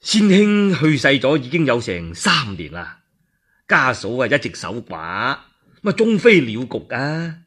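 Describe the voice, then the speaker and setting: A man voicing an ingratiating, scheming governor in a radio story, with a Cantonese accent and a flattering, deferential, drawn-out delivery. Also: mid-range voice, husky, heavily acted. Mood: coaxing, obsequious. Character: ingratiating, scheming male governor, smooth-talking and eager to please.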